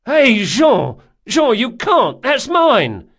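A man's voice: mid-range voice, lively intonation, a little slow, a bit bright. A male narrator voicing a bitter, sulking, sardonic young rogue in an audiobook story. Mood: indignant. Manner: sneering, anguished, outbursts.

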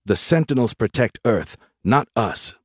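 A man's voice: medium pitch, lively intonation, unhurried, husky, no room sound. A blunt woman soldier, read by a male narrator, practical and short on patience. She bosses people in a close, dry audiobook recording. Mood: lecturing. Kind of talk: audiobook character voice.

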